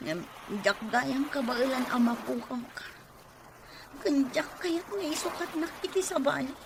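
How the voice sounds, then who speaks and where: A woman's voice: high voice, measured pace, creaky, noisy background. A woman playing a betrayed, suspicious wife in an Ilocano radio drama, emotional, accusing and pleading. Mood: tearful pleading. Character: betrayed wife, suspicious, wounded, confronting husband and mistress.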